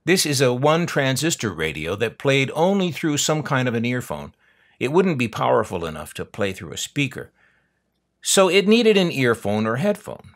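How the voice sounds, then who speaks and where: A man's voice: mid-range voice, big pitch swings, moderate pace. An older American man narrating an unboxing video with wry, dry humor and nostalgic asides. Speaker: host of a collector's unboxing channel.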